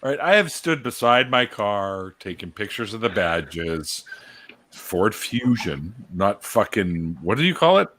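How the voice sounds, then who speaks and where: A man's voice: fairly low pitch, very animated, measured pace, fairly bright tone, slight background noise. A Canadian man hosting a casual livestream chat over a video call, joking and laughing often. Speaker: host.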